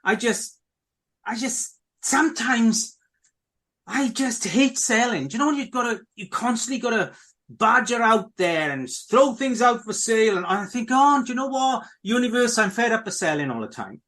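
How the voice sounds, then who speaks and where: Enthusiastic male host with a high voice, casual and rambling, keeps calling out to listeners. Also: animated, normal speed, fairly bright tone. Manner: chatty, repetitive, keeps addressing listeners. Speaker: podcast host. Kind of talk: casual radio chat.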